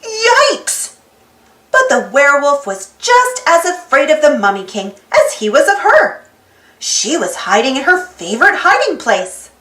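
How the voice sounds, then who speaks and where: Animated, very high-pitched woman reading stories. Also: moderate pace. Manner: expressive, theatrical, exaggerated delivery. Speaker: storybook read-aloud channel host. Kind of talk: animated children's storybook read-aloud. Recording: roomy echo with music behind. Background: music.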